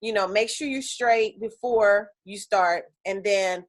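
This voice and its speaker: A woman teaching an energy healing class on a video call, chatty and animated, with lots of fillers. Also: medium pitch, slow pace.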